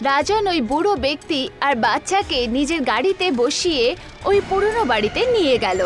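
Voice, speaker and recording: A woman's voice: sits high, animated, brisk, faint music behind. A woman narrator reading a scripted horror story.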